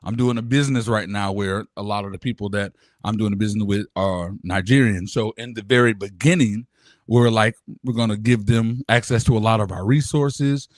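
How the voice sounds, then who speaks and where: A man in his thirties on a casual podcast, talking in an animated, rambling way with fillers and restarts. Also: fairly low pitch, brisk, no echo, studio quality. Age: 30s. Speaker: co-host.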